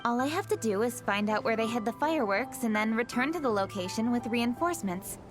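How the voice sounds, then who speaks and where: A woman's voice: a little high, expressive, fairly quick, lightly acted. A woman voicing a poised, dutiful young woman official in a video game, speaking formally and with self-assurance. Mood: firm, determined. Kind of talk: English video game voice acting. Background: background music.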